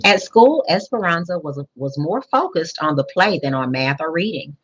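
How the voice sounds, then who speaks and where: Husky, low-voiced woman reading to children. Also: animated, muffled recording. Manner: pauses to explain and question listeners. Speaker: school principal reading to children. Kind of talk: children's picture book read-aloud.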